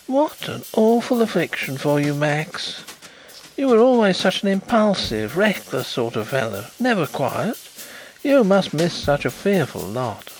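An effusive storybook gentleman detective, proud and easily flustered, as a man acts him out. His voice is pushed higher and swoops expressively, with a stiff politeness. Faint music plays behind him. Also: very animated, measured pace, rough recording. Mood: sympathetic, surprised. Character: Carlyle, excitable, sympathetic gentleman, proud private inquiry agent.

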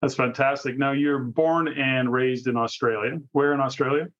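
A man's voice: medium pitch, fairly even intonation, moderate pace, a bit bright. A man hosting a conversational interview podcast, asking long, rambling, discursive questions. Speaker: podcast host interviewing a guest. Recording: dull, lo-fi audio.

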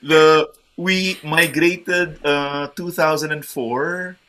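Bright-voiced man from the Philippines. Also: on the high side, steady tone, slow. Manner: hesitant, many fillers and restarts, laughs often. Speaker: guest. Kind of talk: casual interview chat.

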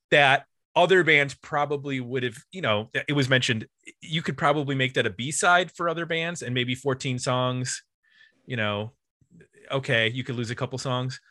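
A man's voice: mid-range voice, bright, forceful tone, no echo, studio quality. A man talking casually and conversationally as a podcast host discussing music, rambling a little as he goes.

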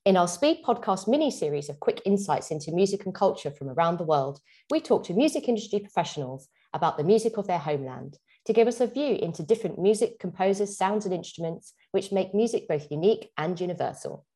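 A woman's voice: lowish voice, lively intonation, fast talker, mellow. A woman hosting an interview podcast, presenting introductions and putting questions to a guest. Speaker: podcast host.

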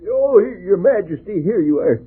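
An actor playing a fussy, dithering court professor with a stammering manner in a 1940s radio play. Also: male voice, high voice, animated, moderate pace, husky, heavily acted. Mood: flustered. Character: flustered fussy male court professor and alchemist. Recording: muffled, tinny old radio recording.

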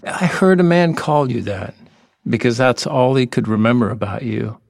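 A man in an audio drama playing a gentle, lonely half-human dolphin creature, telling things in a halting, dreamy, earnest way. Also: medium pitch, creaky, no echo, clean studio sound. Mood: wistful, lonely. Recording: music behind the voice.

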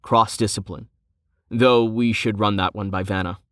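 A man voicing a brisk, businesslike, good-humoured male commander in an audiobook. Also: on the low side, steady tone, a bit bright, dry recording, clean studio sound, lightly acted.

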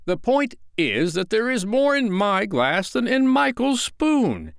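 A man doing a blustering, pompous storybook father, lively pitch. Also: slow, gravelly, no echo, studio quality, full character voice. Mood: indignant. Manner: pompous, indignant retorts. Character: blustering, cowardly, self-important father, middle-aged man. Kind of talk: storybook character reading.

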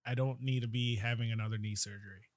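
A man in his thirties co-hosting a casual podcast, animated and laughing at himself. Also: mid-range voice, quick pace, no room sound. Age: 30s. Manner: animated, laughing, self-mocking. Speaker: podcast co-host. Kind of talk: casual banter with friends.

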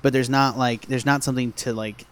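A man with a mid-range voice co-hosts a relaxed film review chat from home. He thinks out loud, restarting phrases and letting sentences trail off as he works toward a point. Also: mid-range voice, fairly quick, slight background noise. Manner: hesitant, with frequent restarts and trailing off. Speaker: podcast co-host.

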